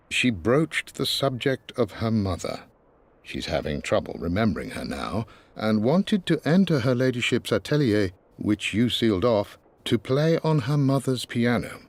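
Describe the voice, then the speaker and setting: An actor playing a patient elderly British family butler in a video game, speaking formally and deferentially with a gentle wryness. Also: male voice, fairly low pitch, exaggerated voice. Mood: formal, fond. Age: older. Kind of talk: video game voice acting, letter narration.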